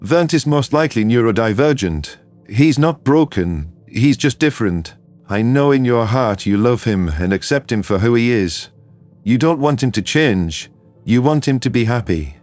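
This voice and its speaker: A man acting a loyal, easygoing human buddy in an audio drama. His voice is mid-pitched and reassuring, with light music behind it. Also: expressive, moderate pace, darkish tone, close to an everyday voice. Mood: gentle reassurance. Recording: music under the voice.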